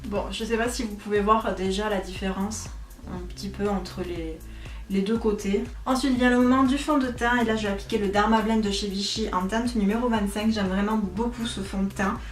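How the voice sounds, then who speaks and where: A woman's voice: medium pitch, fairly even intonation, normal speed. A young woman giving a casual makeup tutorial, chatty and self-mocking, with frequent fillers. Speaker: beauty vlogger. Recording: echoey room, voice a bit far from mic, faint music.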